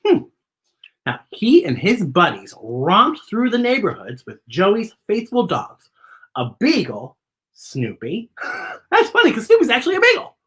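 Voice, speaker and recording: A woman's voice: mid-range voice, huge pitch swings, a little slow, fairly bright tone. A woman hosting a children's story time, reading a picture book aloud in a playful, theatrical way with chatty asides to the young listeners.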